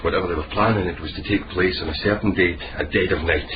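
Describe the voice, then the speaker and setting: An actor playing a calm, guarded informant in a period radio mystery, terse and matter-of-fact. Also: male voice, low voice, quick pace, close to an everyday voice. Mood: conspiratorial. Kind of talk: period radio drama acting. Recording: muffled, hissy old-radio style recording.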